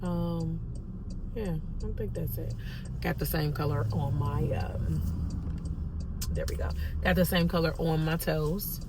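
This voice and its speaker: A woman, rambling in a casual vlog, hoarse as she loses her voice. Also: low voice, unhurried, long pauses. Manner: rambling, with many ums. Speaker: vlogger. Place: in a car. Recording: phone in a car, loud road noise. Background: loud traffic and car noise.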